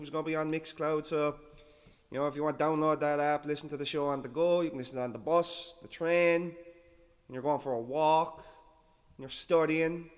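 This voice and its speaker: Male host, relaxed and rambling, a bit high-pitched, long reverb on the voice. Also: clear, resonant tone. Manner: laid-back, self-deprecating, rambling with restarts. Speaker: radio-style show host. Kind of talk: casual rambling show patter.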